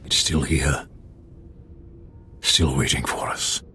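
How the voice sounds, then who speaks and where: An actor playing a scheming, greedy renegade admiral in a video game, husky and formal, with a deliberate, commanding manner. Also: male voice, very deep voice, level tone, bright, forceful tone, slight background noise, heavily acted. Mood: savoring. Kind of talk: dramatic video game acting.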